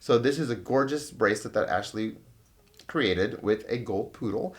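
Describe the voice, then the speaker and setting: A man's voice: on the low side, moderate pace. A man born in Cuba hosting a casual interview, effusive and gushing, often restarting his sentences. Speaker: interviewer host.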